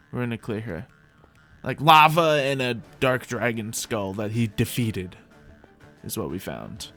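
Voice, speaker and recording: A man gives casual commentary over a video game, with music playing behind him. His voice sits at an average pitch. He rambles along to his viewers in light roleplay, often saying the same phrase over again. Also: fairly bright tone. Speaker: host of a Minecraft roleplay video.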